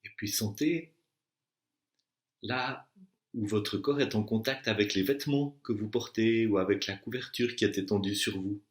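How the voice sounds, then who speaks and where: A man teaching meditation, guiding a deep relaxation with slow, deliberate instructions and long pauses. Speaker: yoga nidra and meditation teacher. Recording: echoey room sound.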